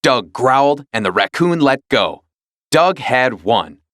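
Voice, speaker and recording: Animated male storybook narrator. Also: mid-range voice, takes it slowly, no room sound, studio quality. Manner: expressive, dramatic storytelling. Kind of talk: scripted children's read-along narration.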